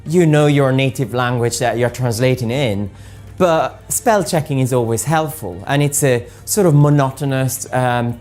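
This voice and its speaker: Very low-voiced woman explaining. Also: a little mellow. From Italy. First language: Italian. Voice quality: patient, explaining step by step with frequent ums. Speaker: software presenter. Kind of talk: explanatory talk about translation software.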